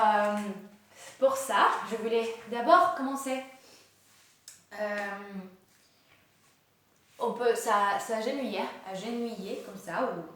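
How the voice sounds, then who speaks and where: A woman in her late twenties leading a guided yoga class in a gentle, hesitant way, with many fillers and restarts. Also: on the high side, a little hiss or hum. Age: late 20s. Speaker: yoga instructor. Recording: very echoey room, voice far from mic.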